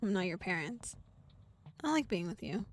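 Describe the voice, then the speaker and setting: A woman's voice: fairly low pitch, big pitch swings, moderate pace, slight background noise. A woman reading as a tender young demon. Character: young male fear-eating demon, tender and teasing.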